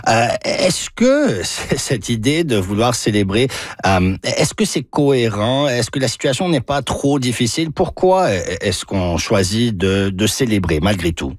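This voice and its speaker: A man hosting a casual radio interview, chatty and relaxed, with many fillers and restarts. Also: medium pitch, a little slow, dry recording. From Lebanese roots. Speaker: radio host.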